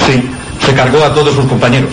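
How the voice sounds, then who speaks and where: Wary male guard played by an actor, radio suspense drama. Terse cautionary delivery, reverberant, loud droning noise. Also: medium pitch, level tone, quick pace, natural voice. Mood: grave warning. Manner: terse, warning. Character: wary male guard warning of a dangerous inmate. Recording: echoey, very noisy background drone.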